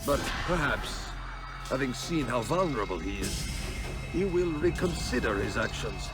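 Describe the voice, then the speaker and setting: A man's voice: mid-range voice, sing-song, normal speed, over loud music, natural voice. An actor playing a proud, worldly, cynical crusader king in a video game, with a grand declamatory manner. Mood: cynical, weary. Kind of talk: dramatic video game acting.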